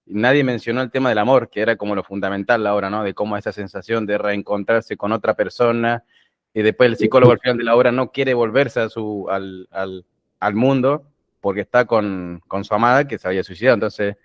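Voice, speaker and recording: A man who studies philosophy, talking haltingly in a casual book club video call. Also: lowish voice, dry recording, highs cut off. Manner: halting, with restarts. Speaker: philosophy student.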